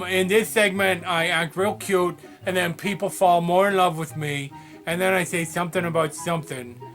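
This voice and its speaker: A man plays a cute, childlike comedy mascot with a bright voice. The character rambles naively and hesitantly, eager to please, as if hosting a show. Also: high-pitched, voice pushed down. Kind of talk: comic skit monologue.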